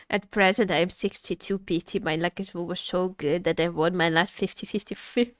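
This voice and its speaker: A woman's voice: lowish voice, fairly even intonation, normal speed, dry recording, clean studio sound. A woman game streamer chatting casually and playfully over gameplay, often repeating her phrases. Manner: playful, repeats phrases, teasing role-play as a vampire. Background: video game music.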